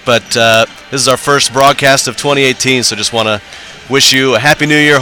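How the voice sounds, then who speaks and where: A man calls a live college basketball broadcast in a bright, forceful voice at a middling pitch. He is friendly and welcoming and reels off records and scores, with an occasional "uh" between them. Faint music sits behind him. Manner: friendly welcoming, reads stats with occasional uh fillers. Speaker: play-by-play announcer. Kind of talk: live sports broadcast commentary. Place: university gym broadcast.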